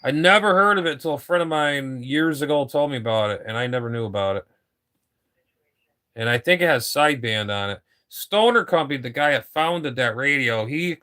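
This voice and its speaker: A man's voice: mid-range voice, bright, forceful tone. A man in his fifties, a ham radio operator chatting casually on air, rambling and repeating himself, with casual swearing. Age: 50s.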